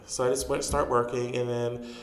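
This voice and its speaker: A man's voice: mid-range voice, little pitch movement, normal speed, reverberant, long reverb tail, low-quality recording. A man in his forties from Chicago, chatting animatedly as a guest in an interview and laughing often. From Chicago, USA. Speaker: guest, drag performer and actor. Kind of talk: casual interview.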